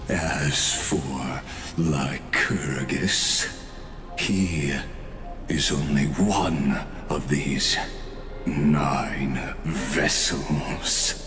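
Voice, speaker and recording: An actor playing a coldly fanatical ancient genius villain in a video game, delivering solemn, pompous, deliberate monologues. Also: male voice, a bass voice, exaggerated voice. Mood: brooding, solemn. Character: grandiose ancient male genius villain, a machine-bound mind, coldly fanatical. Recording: echoing voice over prominent music.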